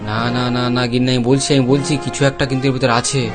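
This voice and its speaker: A man's voice: medium pitch, flat delivery, rapid-fire, close to an everyday voice. A man voicing a worried village father in a cartoon story, agitated and pleading, repeating himself. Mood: alarmed. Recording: clear music under the voice.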